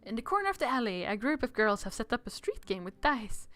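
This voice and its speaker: A woman game streamer reading a visual novel aloud with playful commentary, expressive and giggly. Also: on the high side, fast, no echo.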